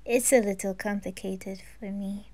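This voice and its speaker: A woman voicing a shy, downcast teenage anime boy, speaking quietly and hesitantly in an amateur audio roleplay. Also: mid-range voice, fairly even intonation, moderate pace, pitched below the performer's own voice, lightly acted. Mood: subdued, shy.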